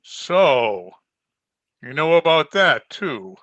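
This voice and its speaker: A man playing a brooding, secretive murderer in old-time radio mystery style, grave, guarded and halting. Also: fairly high pitch, very animated, fairly bright tone, muffled recording, exaggerated voice. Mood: grim. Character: brooding, secretive male murderer torn by love. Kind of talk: 1940s radio mystery acting. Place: at home, online video-call performance. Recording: noisy home internet-call recording.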